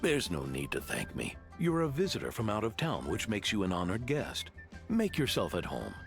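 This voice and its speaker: A genial old innkeeper grandfather in a video game. His voice is low and swings dramatically in pitch. He is hearty, devout and courteous, with loud music playing behind him. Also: huge pitch swings, moderate pace, gravelly, full character voice. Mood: warm, welcoming. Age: older. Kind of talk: video game character dialogue.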